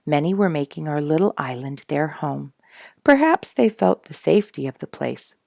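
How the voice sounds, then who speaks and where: A woman with a low, heavily creaky voice reads a nature memoir aloud as a storyteller. Her delivery is expressive and suited to families, leaning on key words for dramatic effect. The recording is dry and close, with very little room sound. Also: moderate pace, no room sound. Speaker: storyteller reading a book aloud.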